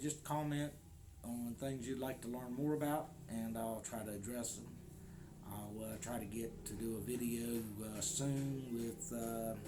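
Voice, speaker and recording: An American man talks casually to the camera at an average pitch. He is easygoing and joking as he chats about everyday life, with faint noise behind him. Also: mellow. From United States. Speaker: vlogger. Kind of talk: casual vlog talk to camera. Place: home in the Philippines.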